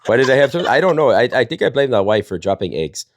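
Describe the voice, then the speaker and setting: A man's voice: medium pitch, very animated, fast talker, no room sound, clean studio sound. A man, chatting and telling stories in a rambling, jokey way. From Wisconsin, United States. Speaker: storyteller and live panel host. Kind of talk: casual chat and storytelling.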